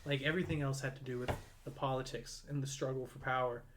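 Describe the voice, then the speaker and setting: A man's voice: mid-range voice, fairly even intonation, fast, noisy background. Rambling young man on a podcast. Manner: rambling, filler-heavy. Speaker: podcast co-host. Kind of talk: casual chat.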